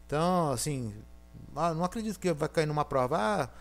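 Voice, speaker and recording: A man's voice: a little high, animated, brisk, creaky. A man giving a recorded university lecture with a Brazilian Portuguese accent, thinking aloud and checking in with frequent fillers.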